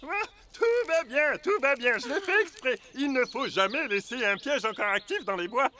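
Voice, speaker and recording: An actor with a French accent playing a bumbling, know-it-all wilderness guide who blusters confidently in a cartoon. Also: male voice, very high voice, expressive, moderate pace, bright, forceful tone, heavily acted. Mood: blustering. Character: bumbling, boastful male wilderness guide, self-assured. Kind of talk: animated series voice acting. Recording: music behind the voice.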